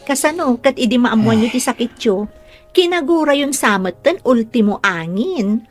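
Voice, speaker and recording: High-voiced, teasing young caregiver. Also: female voice, lively intonation, measured pace. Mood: caring, teasing. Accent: northern Philippine. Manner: teasing and encouraging. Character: cheerful, caring, teasing young female caregiver. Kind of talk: radio drama acting.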